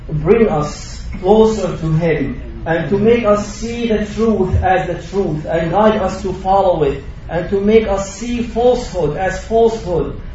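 Male imam, high voice, heard from a distance in a roomy, booming prayer hall; sermon delivery. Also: a little mellow, slight background noise. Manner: preaching with rising emphasis, Arabic recitation between English. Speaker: imam giving a Friday sermon. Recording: distant echoey mosque recording, dull.

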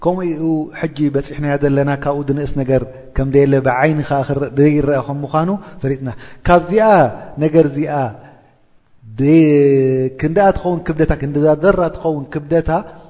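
A man's voice: a little high, fairly even intonation, brisk, mellow. A man giving a religious lesson, intoning verses and explaining them, often checking in with rhetorical questions to his listeners. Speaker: Islamic preacher explaining a Quran chapter. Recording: dull lo-fi recording with long reverb.

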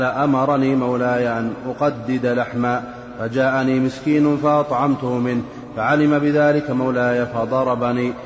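Slow, flat male reader in a hall. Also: mid-range voice. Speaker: student reading texts aloud. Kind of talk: slow measured recitation. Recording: echoey hall, dull lo-fi, off-mic.

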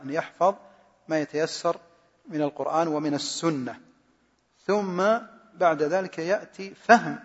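A man giving informal religious teaching and answering questions, halting and repeating his words as he goes. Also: a little high, breathy edge. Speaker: lecturer answering questions on Quran study. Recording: muffled, echoing hall recording.

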